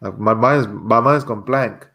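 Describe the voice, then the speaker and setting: A man's voice: on the low side, measured pace, pushed and bright. A British man, a guest in a casual political chat, speaking hesitantly and often repeating words as he searches for the next one.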